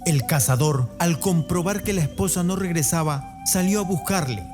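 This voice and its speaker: A man's voice: a little high, normal speed. A man narrating a radio play myth in the manner of a storyteller. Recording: music bed behind the voice. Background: music.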